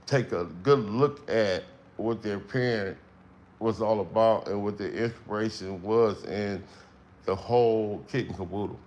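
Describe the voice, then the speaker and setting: A middle-aged man with a strong, carrying voice, speaking slowly and emphatically in a casual livestream monologue with long pauses. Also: on the low side, darkish tone, dull audio. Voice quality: voice that carries, strong tone. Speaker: livestream host.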